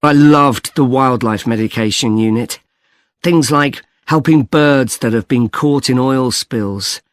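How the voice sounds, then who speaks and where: A man's voice: mid-range voice, a little slow, no room sound, studio quality, close to an everyday voice. An actor playing a young male student in a scripted discussion about his course. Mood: engaged, opinionated. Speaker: actor in a listening test dialogue.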